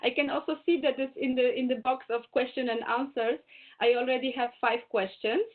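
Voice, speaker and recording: A woman's voice: sits high, steady tone, a little fast. Woman on a tinny video call. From Poland. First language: German. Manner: chatty, self-correcting, laughs easily. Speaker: guest speaker. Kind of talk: webinar talk.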